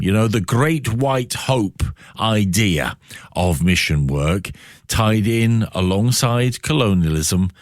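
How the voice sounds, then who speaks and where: Male radio host with lively intonation. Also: lowish voice, no room sound, clean studio sound. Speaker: radio interviewer. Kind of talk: thoughtful scripted-sounding radio interview introduction and questions.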